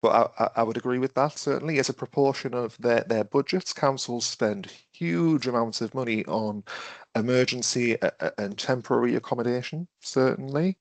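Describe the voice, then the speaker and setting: A man's voice: mid-range voice, moderate pace, no echo. A man with a cough, running an online training session, thinking aloud and putting questions to his audience. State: has a cough and is losing his voice. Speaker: webinar trainer. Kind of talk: interactive online training talk.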